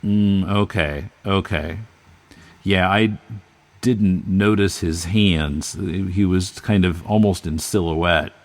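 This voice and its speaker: A man's voice: sits low, steady tone, takes it slowly, dry recording. A man hosting a casual discussion about books, speaking a little hesitantly and correcting himself as he goes. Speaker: podcast host discussing comics.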